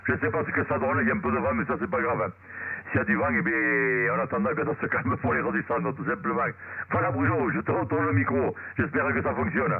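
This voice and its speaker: A man with a very creaky, gravelly voice chatting casually as an amateur radio operator. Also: medium pitch, fast talker, phone-quality sound. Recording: very noisy two-way radio transmission.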